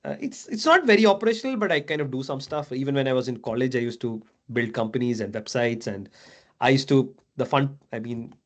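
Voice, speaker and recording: Chatty mentor guy, 30s, from dubai, lots of uh and right, middle pitch voice. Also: lively intonation, a little fast. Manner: chatty, many fillers and tag questions. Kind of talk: casual video call chat.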